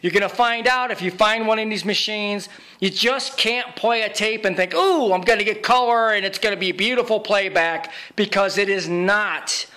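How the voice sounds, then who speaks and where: High-pitched man giving a how-to. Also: moderate pace, bright, forceful tone. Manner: boastful, emphatic. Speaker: video repair technician. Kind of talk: informal how-to tutorial narration.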